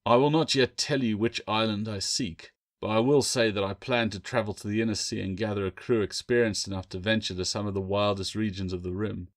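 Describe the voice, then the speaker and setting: A man's voice: lowish voice, fairly even intonation, a little fast, hardly any pauses, close to an everyday voice. A man voicing a proud, shrewd pirate captain in an audiobook, speaking formally and with authority. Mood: grave, measured.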